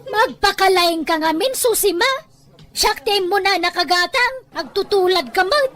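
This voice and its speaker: A woman's voice: very high voice, animated, moderate pace, a bit bright. A woman with an Ilocano Filipino accent acting an aggrieved family member in an amateur audio drama. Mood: indignant.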